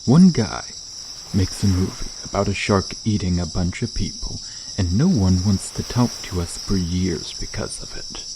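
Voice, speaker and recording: A man voicing a curious, gentle, shy mer-shark in an ASMR roleplay, speaking casually and hesitantly, often trailing off. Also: sits low, expressive, moderate pace, noisy background. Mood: wry, casual. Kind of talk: ASMR roleplay, soft conversational acting to the listener. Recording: nature ambience with chirping insects. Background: crickets and outdoor night ambience.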